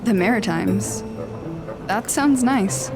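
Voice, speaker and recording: A woman's voice: medium pitch, takes it slowly, over loud music. A woman playing a brash, pushy and huffy teenage schoolgirl in an audio drama. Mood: upbeat.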